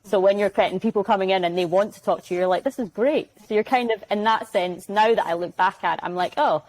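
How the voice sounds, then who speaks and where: A woman's voice: medium pitch, fast talker, a little mellow, no room sound, rough recording. A young woman from Glasgow with a Glaswegian accent, chatting as a guest on a video-call interview and acting out little quoted exchanges. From Glasgow, Scotland. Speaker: guest, sales development rep.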